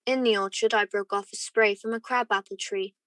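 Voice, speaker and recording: A woman playing an earnest, lovestruck young gentleman who narrates his romance in a radio play. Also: mid-range voice, steady tone, fairly quick, no room sound, clean studio sound, lightly acted. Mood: wistful.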